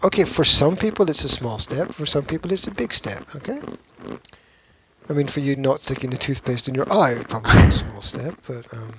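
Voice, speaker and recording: A man's voice: medium pitch, brisk, fairly bright tone, slight background noise, low-quality recording. A man co-hosting a casual podcast, bantering in a teasing, matter-of-fact way. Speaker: podcast co-host.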